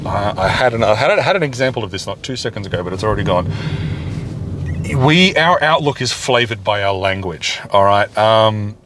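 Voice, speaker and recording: A man's voice: lowish voice, expressive, moderate pace. An Australian man, middle-aged, talking casually and digressively while driving, often correcting himself and swearing mildly. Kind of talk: casual rambling monologue. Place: inside a car while driving. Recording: loud car and traffic noise. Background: road and engine noise.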